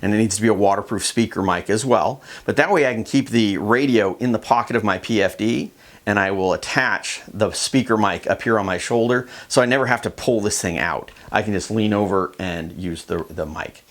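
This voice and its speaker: A man's voice: on the low side, a little fast. A man giving a casual, plain-spoken instructional demonstration in a conversational way. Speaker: kayak fishing instructor.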